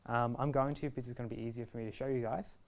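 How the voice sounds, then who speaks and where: A man's voice: medium pitch, fairly even intonation, very fast. Easygoing young man giving a tutorial. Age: 20s. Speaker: product reviewer and presenter. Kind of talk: casual step-by-step tutorial. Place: indoor shop video studio, standing at a table.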